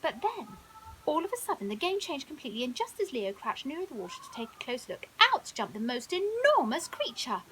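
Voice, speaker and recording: A woman reads a scripted children's bedtime story in an expressive, dramatic way. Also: high voice, a little fast, low-quality recording. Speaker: storyteller, primary school teacher. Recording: audible hiss.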